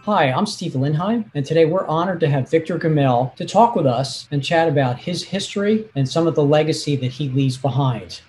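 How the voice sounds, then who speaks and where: Male interview host, slightly high voice, formal and measured delivery. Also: a little mellow, a little hiss or hum, poor audio. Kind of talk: scripted introduction and formal interview.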